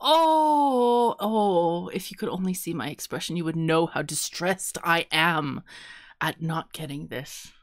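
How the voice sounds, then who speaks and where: A woman's voice: fairly low pitch, a little slow. A woman co-hosting a podcast in casual, playful chat, laughing often and guessing aloud. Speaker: podcast co-host.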